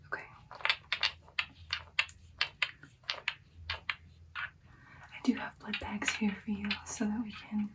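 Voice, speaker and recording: A woman playing a caring, anxious vampire girlfriend in a whispered ASMR roleplay, speaking softly and haltingly in a soothing way. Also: mid-range voice, completely flat delivery, recorded from a distance, close to an everyday voice, low-quality recording. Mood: caring, attentive. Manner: soft, hushed, halting, soothing personal attention.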